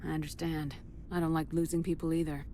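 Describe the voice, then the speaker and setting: A woman playing a resolute, caring military commander in a video game, firm, measured and direct. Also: sits low, little pitch movement, mellow. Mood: solemn. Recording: faint background ambience noise.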